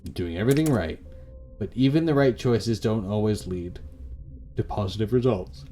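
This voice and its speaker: A man voicing a calm, pragmatic advisor on a phone call in a visual novel, measured and matter-of-fact. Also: fairly low pitch, soft background music, lightly acted. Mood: measured, reassuring.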